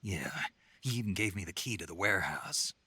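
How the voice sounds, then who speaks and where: An actor playing a gruff, terse soldier on a covert mission in a video game, with a gravelly voice. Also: male voice, low-pitched, animated, brisk, sharp tone, no room sound, exaggerated voice. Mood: terse, guarded. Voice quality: gravelly, husky. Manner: terse, dry. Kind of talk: video game radio-call dialogue acting.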